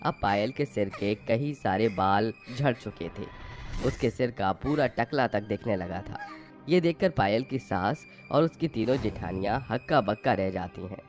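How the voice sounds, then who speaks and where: A man reads a scripted moral tale as narrator. His voice sits fairly low, and soft music plays underneath. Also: animated, unhurried. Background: faint music.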